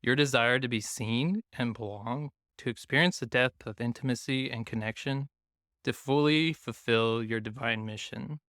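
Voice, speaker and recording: A man who grew up in Taiwan talks reflectively as a podcast guest, searching for words and leaning on "like". His voice is bright and smooth. The recording is close and dry. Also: medium pitch, normal speed, no room sound. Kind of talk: casual conversation.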